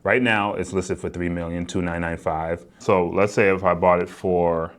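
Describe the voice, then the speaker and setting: Deep-voiced American man, casual explainer. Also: fairly even intonation, normal speed. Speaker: real estate developer and agent, vlog subject. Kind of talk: casual explanatory vlog talk.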